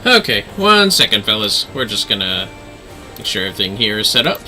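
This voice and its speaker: A man with a very bright, forceful voice does casual gameplay commentary over music. His pitch jumps around in a lively way as he mutters and exclaims to himself. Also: a little fast. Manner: animated, talks to himself. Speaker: livestreamer.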